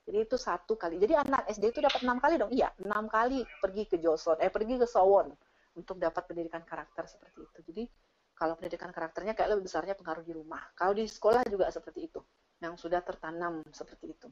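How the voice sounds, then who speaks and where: A woman giving casual, animated explanations as she answers audience questions on an online call. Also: medium pitch, fast talker. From Indonesia. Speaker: teacher and speaker sharing her experience. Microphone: online video call. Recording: dull, lo-fi online meeting audio.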